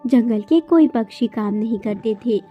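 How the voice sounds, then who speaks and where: A woman's voice: on the high side, moderate pace, highs cut off, soft background music. A woman giving scripted narration for a children's cartoon story.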